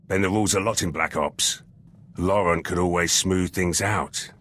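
An actor playing a gruff, blunt military lieutenant in a video game, rough-voiced and speaking in clipped sentences. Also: male voice, deep voice, fairly even intonation, moderate pace, fairly bright tone. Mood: matter-of-fact.

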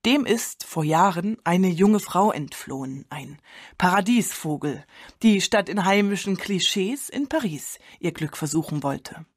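Husky, low-voiced female radio host. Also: lively intonation, measured pace, no echo, studio quality. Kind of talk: scripted radio introduction.

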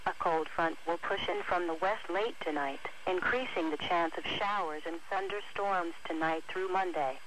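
A woman's voice: fairly low pitch, normal speed, hardly any pauses. A synthetic woman's voice reading a scripted weather bulletin as an automated announcer over the radio. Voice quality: synthetic text-to-speech voice. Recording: noisy weather radio broadcast recording.

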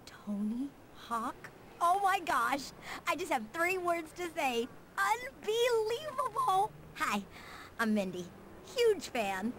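A woman's voice: very high voice, very animated, slow, a bit bright, a little hiss or hum, heavily acted. A young woman with an American accent playing an upbeat skater girl and comic artist in a video game cutscene, perky and slangy. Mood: excited, starstruck.

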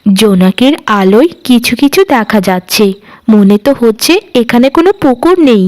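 A woman's voice: on the high side, animated, moderate pace, darkish tone, voice pushed down, lightly acted. A woman voicing an innocent, obliging young girl, the trusting youngest sister in a cartoon fairy tale. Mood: puzzled, trusting.

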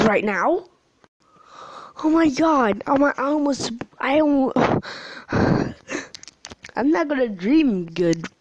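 Young gamer with a tired voice: slow, jumpy commentary, gasps and near-whispers, faint hiss. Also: female voice, a little high, low-quality recording. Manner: jumpy, gasping, swings between loud alarm and hushed whispers. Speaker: gaming channel host. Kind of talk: live gameplay commentary. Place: at home late at night.

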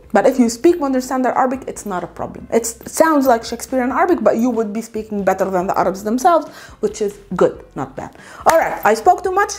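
A woman in her thirties with a Palestinian accent, teaching a video language lesson in a small room on a lapel mic, animated and playful. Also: on the high side. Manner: animated, gesturing, playful asides. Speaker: Arabic teacher. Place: small room against a light backdrop.

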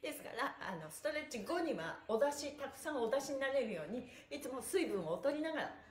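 A woman's voice: sits high, very animated, fairly quick. A middle-aged woman leading a live stretching class with cheerful, polite and playful coaching, chatting and counting as she goes. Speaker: stretching instructor and pantomime performer. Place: small room indoors, on an exercise mat. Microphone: camera or phone a short distance away. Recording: roomy, echoey distant device mic.

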